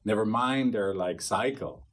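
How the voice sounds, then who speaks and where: A man with a fairly low voice teaches a small group of therapists in a loose, rambling way. He keeps slipping into acted snippets of quoted conversation. Faint noise sits under the recording. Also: measured pace. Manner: rambling, animated, acts out quoted dialogue. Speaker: couples therapist trainer.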